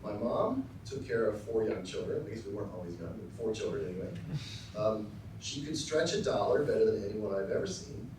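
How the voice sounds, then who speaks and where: A middle-aged man from Texas giving a formal acceptance speech with dry, self-deprecating humor, often pausing with ums and uhs. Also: lowish voice, lively intonation, noisy background. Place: large lecture hall. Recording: very echoey hall, voice from far off.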